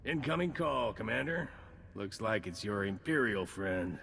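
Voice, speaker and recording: A Republic officer, gravelly, matter-of-fact, long reverb. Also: male voice, on the low side, expressive, measured pace, fairly bright tone, a little hiss or hum, exaggerated voice. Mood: businesslike. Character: male Republic officer, dutiful and practical. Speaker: military officer. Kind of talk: game voice acting.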